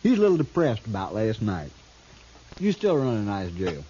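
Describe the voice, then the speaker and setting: An actor playing a cool, cocky outlaw in a 1950s American Western radio drama, drawling and sardonic. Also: male voice, on the low side, huge pitch swings, moderate pace, warm tone. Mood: jovial, mocking. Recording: dull, hissy 1950s radio recording.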